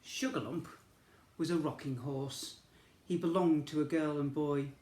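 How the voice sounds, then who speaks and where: A male teacher reads a picture book aloud to young children. His pace is slow and gentle, and he breaks off now and then for asides to his listeners. The recording has a roomy, echoey sound. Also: a little high, fairly even intonation.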